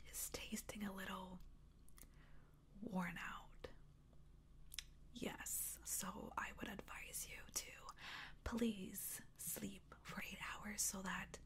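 A young woman acts out a possessive female vampire for ASMR roleplay. She whispers very slowly and intimately, coaxing and teasing, with a gravelly creak under the breath. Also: mid-range voice, a bit bright. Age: young adult. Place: small room indoors.

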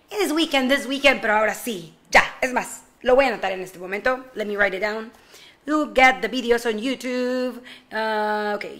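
Young woman w/ teaching little kids on webcam, high bright voice, stretches words out slow. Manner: slow, drawn-out model phrases with playful asides. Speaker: ESL teacher for kindergarten. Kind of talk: online class for young children, mixing English and Spanish. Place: room at home in front of a slide screen.

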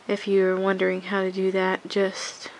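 A woman's voice: medium pitch, completely flat delivery, unhurried, slight background noise, rough recording. A woman with a cold talks through a hands-on craft tutorial at home, sniffling and rambling with many restarts. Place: home craft table, indoors.

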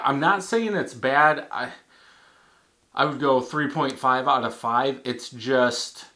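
A man in his thirties giving a casual beer tasting review at a home bar, thinking aloud with halting restarts. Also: medium pitch, a bit bright. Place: home bar in front of a banner.

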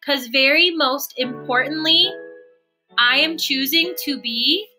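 A young woman giving an expressive, sing-song read-aloud of a children's storybook, indoors at a computer. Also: high voice, slow, faint music behind. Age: young adult. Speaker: storybook reader.

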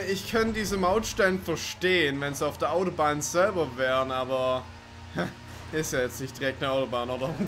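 A man's voice: mid-range voice, noisy background. A man giving casual, sarcastic let's play commentary with chuckling asides. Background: game music and car sounds.

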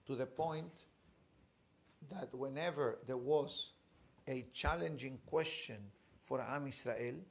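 Animated bearded rabbi telling a story. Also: male voice, mid-range voice, gravelly. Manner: animated storyteller, gestures with hand, emphatic pauses. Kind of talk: storytelling Torah class. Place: study room with bookshelves, indoors. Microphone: fixed camera, seated at desk.

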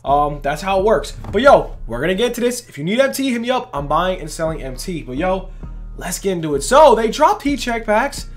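A young man with a voice going hoarse, hosting a casual gaming video in hyped, rambling talk full of "literally" and "bro". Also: sits high, big pitch swings, brisk, soft background music. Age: young adult. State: losing his voice. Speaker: gaming channel host. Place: indoors at a gaming setup, face cam.

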